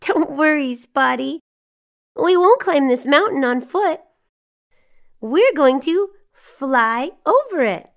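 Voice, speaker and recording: High-pitched little cartoon girl. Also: lively intonation, slow, long pauses, heavily acted. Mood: reassuring. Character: cheerful little girl adventurer, caring and encouraging. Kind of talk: children's cartoon dialogue, teaching the viewer.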